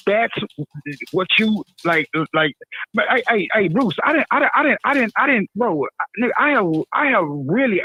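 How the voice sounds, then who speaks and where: Excitable male caller, high voice, tinny phone line, stammers and repeats. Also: no room sound. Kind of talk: casual chat.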